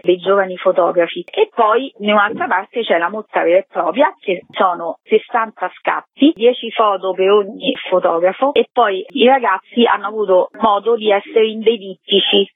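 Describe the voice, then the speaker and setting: A woman's voice: mid-range voice, no echo. A woman explaining things in an interview, speaking as an exhibition co-curator. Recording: dull lo-fi phone line.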